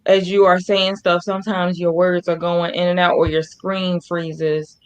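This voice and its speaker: A woman's voice: fairly low pitch, little pitch movement, a little slow, muffled recording. Conversational woman co-hosting a casual chat. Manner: conversational, interjects agreement. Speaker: co-host. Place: remote video call.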